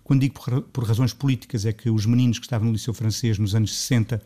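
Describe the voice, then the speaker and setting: A middle-aged man from Lisbon with a Lisbon Portuguese accent, talking as a composer in a radio interview. He is thoughtful and often restarts his phrases. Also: fast. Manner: thoughtful, restarts phrases, rises in pitch when animated. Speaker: composer guest.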